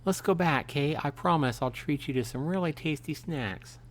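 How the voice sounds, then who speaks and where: A man voicing a sharp-tongued, dismissive young woman who coos to her dog, from a visual novel game. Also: on the high side, normal speed, voice pushed up, lightly acted. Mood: tender, soothing.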